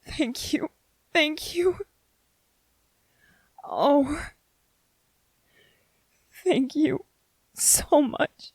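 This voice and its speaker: A woman playing an anxious, gentle, earnest young male soldier in a soft-spoken ASMR drama, speaking haltingly. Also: sits high, no room sound, heavily acted. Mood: tender, pleading. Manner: hesitant, halting, repeating phrases.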